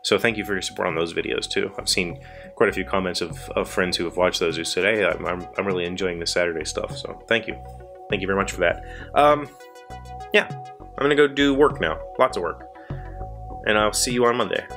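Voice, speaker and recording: A man's voice: sits low, a little fast, a bit bright, soft background music. A man in his forties with an American accent, chatting casually and in a rambling, self-deprecating way as the host of a video show. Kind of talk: casual chat to viewers. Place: small indoor workshop crowded with tools.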